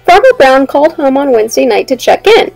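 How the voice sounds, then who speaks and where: A young woman reading a children's storybook aloud in an expressive way and addressing her young listeners. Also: high-pitched, a little fast, creaky, faint music behind. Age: young adult. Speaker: teacher reading a picture book aloud.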